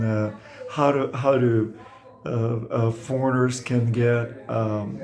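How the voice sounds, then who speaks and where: Slow, gravelly male interviewer. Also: medium pitch, warm tone. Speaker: interviewer, host. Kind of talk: casual interview questions.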